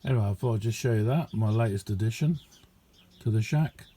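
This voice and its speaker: A man's voice: lowish voice, a little fast, warm tone, slightly rough. A middle-aged man, chatting casually in a rambling, understated, self-deprecating way as he shows a hobby project. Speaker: amateur radio hobbyist showing his kit. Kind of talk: casual hands-on video chat. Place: small indoor radio room.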